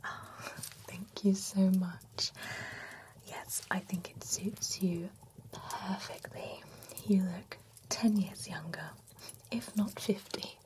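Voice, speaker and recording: A genteel, nosy vampire beautician, whispered by a woman, pampers a client in a soft, unhurried whisper. She is gossipy and giggly, leaning close to a sensitive microphone. Her voice sits at an ordinary middle pitch. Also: lightly acted. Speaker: ASMR roleplay performer. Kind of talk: whispered ASMR roleplay. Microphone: close sensitive ASMR microphone.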